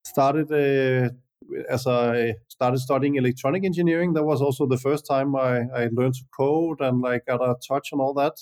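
A man's voice: medium pitch, steady tone, darkish tone. Danish man answering, hesitant. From Denmark. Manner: measured, many "uh" and "like" hesitations. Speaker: guest entrepreneur. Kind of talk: casual interview answers.

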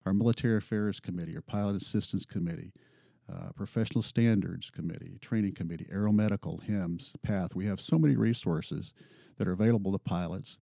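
Gravelly low-voiced man, earnest. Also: brisk, warm tone, no echo, clean studio sound. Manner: earnest, repeats words when thinking. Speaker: pilot union rep. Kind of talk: interview answers.

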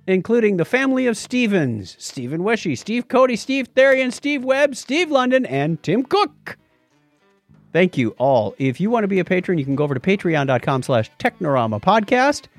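A middle-aged American man co-hosting a casual tech podcast, chatting and telling stories in a jokey way. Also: high-pitched. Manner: jokey, animated storytelling. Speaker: podcast co-host.

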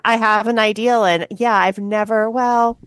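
A woman co-hosting a podcast, talking casually and hesitantly with many pauses and trailing thoughts. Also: fairly high pitch, steady tone, a bit bright. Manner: hesitant, lots of fillers and trailing off. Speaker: podcast co-host. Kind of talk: casual chat.

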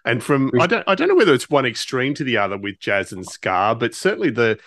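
A man's voice: mid-range voice, very animated, brisk, fairly bright tone, no echo, studio quality. A man hosting a casual interview, speaking with enthusiasm and often doubling back on his words. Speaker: interviewer, podcast host.

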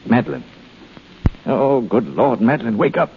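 Brisk, animated schemer, tinny old radio. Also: male voice, mid-range voice, gravelly. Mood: urgent. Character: brisk, urbane, hurried male schemer. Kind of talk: 1930s radio drama acting. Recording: tinny, noisy 1930s radio recording.

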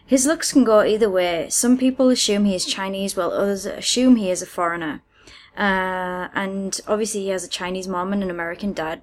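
A British woman co-hosting a podcast, reading messages aloud and chatting in a halting, hesitant way. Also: medium pitch. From United Kingdom. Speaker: podcast co-host.

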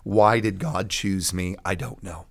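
A man's voice: low-pitched, steady tone, a little slow. A man, a pastor guest, giving personal testimony in a blunt, emphatic conversational way.

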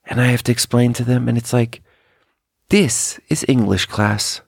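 Wry, halting man from Sweden. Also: medium pitch, steady tone, creaky, husky, no room sound, studio quality. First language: Swedish. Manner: self-deprecating, halting, wry. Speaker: podcast host. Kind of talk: rambling personal storytelling, casual monologue.

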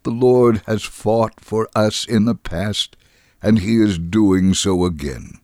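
A man reading a story in a dramatic, expressive way, acting out the quoted dialogue. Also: on the low side, slow, dry recording, studio quality. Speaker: reader of a dramatized true story.

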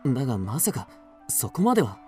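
A man playing an earnest, gentle but resolute young samurai in a period radio drama, speaking formally in courteous archaic phrases. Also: mid-range voice, big pitch swings, fairly quick, soft background music, lightly acted. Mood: resolute, tender.

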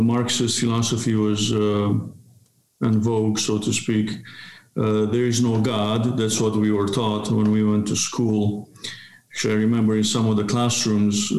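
A man in his fifties from Romania, a seminary professor answering an interview in a measured way with many fillers. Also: on the low side, creaky, a little mellow, echoey room, poor audio. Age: 50s.

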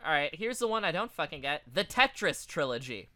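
A man's voice: a little high, big pitch swings, brisk, bright, forceful tone. A man co-hosting a podcast, casually reading down a list and adding profane, offhand quips. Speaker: podcast co-host.

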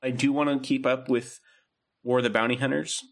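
A man's voice: mid-range voice, little pitch movement, fairly quick. A man co-hosting a podcast, talking casually and rambling with lots of ums and likes. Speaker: podcast co-host. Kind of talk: casual chat.

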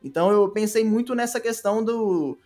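High-pitched man, Brazilian accent, casual podcast guest chat, faint music behind. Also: normal speed, darkish tone. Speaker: podcast guest, audio drama creator.

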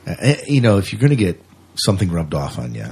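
A man with a low, slightly rough and husky voice co-hosts a podcast. He chats casually and unscripted, often doubling back to repeat a phrase. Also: wildly swooping pitch, quick pace, darkish tone. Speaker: podcast co-host.

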